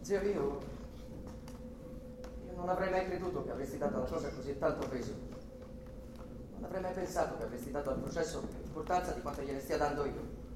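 An actor playing a compliant, unruffled nephew in a radio drama, with a placid, deferential manner. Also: male voice, a little high, fairly quick, pauses often, close to an everyday voice. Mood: calm, defensive. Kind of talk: theatrical radio drama acting. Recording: roomy echo, clear background noise.

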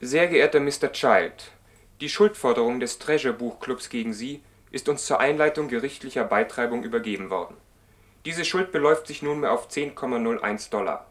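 A man playing a formal, politely threatening lawyer reading out a measured letter in a German radio play. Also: medium pitch, fairly bright tone, lightly acted. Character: smoothly threatening, formal male collection lawyer.

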